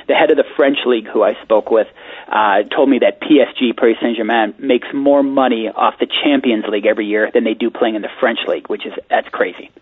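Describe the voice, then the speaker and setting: An American man talks fast and chattily, sharing stories as a guest on a radio talk show. His voice is a little husky and dry. It comes through a thin, phone-like line. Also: medium pitch, dry recording. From United States. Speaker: sports media consultant guest.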